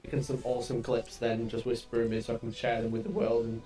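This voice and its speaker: A man in his twenties talking loudly and laughing on a live stream in a replayed clip. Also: mid-range voice, completely flat delivery, quick pace. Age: 20s. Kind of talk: casual live-stream chat. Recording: distant clip audio over music.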